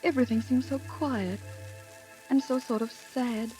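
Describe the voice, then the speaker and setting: A frail, romantic young woman with a fairly high voice, played by an actress, ardent and wistful in a vintage radio melodrama. The old 1930s broadcast recording has faint music behind her. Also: steady tone, measured pace, warm tone, natural voice, rough recording. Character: frail romantic girl of nineteen, ardently devoted. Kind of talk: 1930s radio drama acting.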